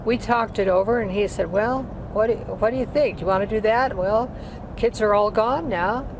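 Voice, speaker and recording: A woman's voice: mid-range voice, normal speed, noisy background. A woman in her eighties, a singer, telling her life story in a chatty, rambling interview, with many restarts. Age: 80s. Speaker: guest, singer.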